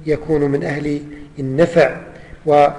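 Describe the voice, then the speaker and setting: A high-pitched man gives a religious lesson. He recites verses, repeats them and explains each one. His voice rings with the long reverb of a large hall. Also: little pitch movement, normal speed, a little mellow. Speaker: Islamic scholar teaching.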